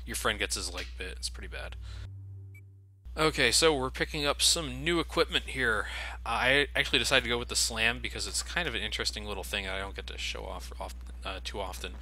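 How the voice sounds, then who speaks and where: Sharp, bright-voiced sardonic man doing game commentary. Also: on the low side, a little fast, low-quality recording. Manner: sardonic, profane. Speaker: let's play host.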